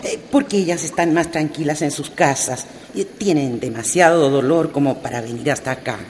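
Actress as a low-voiced, kindly old village aunt. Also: female voice, close to an everyday voice. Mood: calm, homely. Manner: unhurried, gently scolding. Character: kindly, firm old village midwife aunt, slow-spoken storyteller. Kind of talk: radio drama acting. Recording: background noise and ambience.

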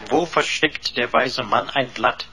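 An actor playing a pedantic computer butler in a radio play, servile in wording yet snootily mocking, pompous and over-formal. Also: male voice, medium pitch, unhurried, background noise, lightly acted. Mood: smug lecturing.

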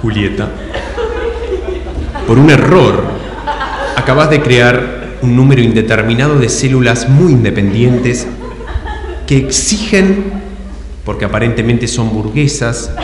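Animated Rioplatense comedy narrator in echoey hall. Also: medium pitch, slow pace, long pauses, a crowd in the background. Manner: teasing, emphatic, repeats prompts. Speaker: improv game narrator and player. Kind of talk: improvised stage comedy, posing choices. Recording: echoey hall, clear background noise.

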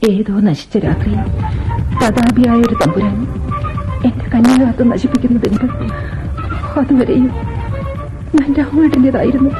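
A woman playing a sorrowful, bitter housemaid in a Malayalam radio drama, speaking in a deliberate, literary and emotive way. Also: high voice, poor audio. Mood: anguished. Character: sorrowful, bitter housemaid confiding her ruined life.

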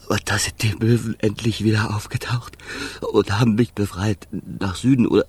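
Husky, weary Wind spirit. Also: male voice, on the low side, fairly even intonation, darkish tone. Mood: exhausted. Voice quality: breathy, husky. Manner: halting, plaintive, sighing. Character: the Wind, frozen, weary, boastful but timid wanderer. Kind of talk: children's radio play acting.

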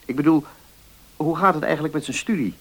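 Measured, kindly but firm notary. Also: male voice, fairly high pitch, slightly rough. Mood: thoughtful. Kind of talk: Dutch radio play acting.

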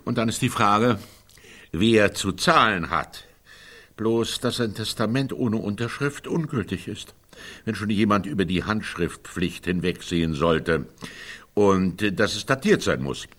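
An actor playing a sceptical, pedantic elder brother in a German radio drama, sarcastic and husky. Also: male voice, fairly low pitch, a little slow, a bit bright. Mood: lecturing. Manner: sarcastic, lecturing.

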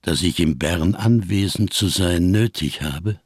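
An actor voicing a grave, scarred giant of a fugitive in a radio crime drama, speaking with ponderous, over-careful diction and a gravelly, husky voice. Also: male voice, deep voice, a little slow, no room sound, studio quality. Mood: solemn, wry.

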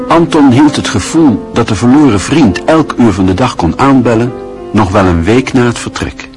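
A man narrating a literary radio drama in a measured, even storytelling manner. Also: medium pitch, over music.

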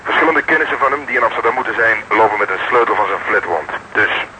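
A clearly noisy radio play recording of a man playing a world-weary police detective. His voice is low, and he talks in a deadpan, sarcastic way. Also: fast, lightly acted. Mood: dry, resigned. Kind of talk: Dutch radio drama acting.

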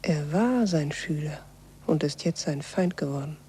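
An actress playing a scientist's dutiful, reserved daughter in a 1940s radio drama. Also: female voice, deep voice, animated, unhurried, dark tone. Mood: hushed, cold. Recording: noisy 1940s radio recording.

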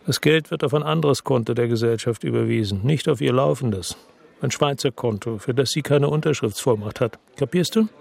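An actor playing a world-weary, bitter crime investigator who narrates and talks tersely in a radio drama, with a gravelly, husky, deadpan voice. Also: male voice, mid-range voice. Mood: matter-of-fact. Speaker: first-person narrator and lead character in a radio drama.

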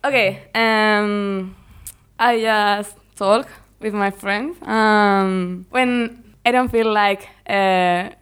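A young woman whose first language is Spanish answers interview questions in careful learner English. She speaks slowly and haltingly, her voice smooth and clear. Fillers and little laughs run through her talk. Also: mid-range voice, fairly even intonation, a bit bright. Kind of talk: casual interview chat.

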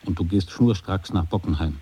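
An actor in an experimental radio play, speaking in short, calm, husky lines. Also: male voice, low voice, moderate pace. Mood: measured.

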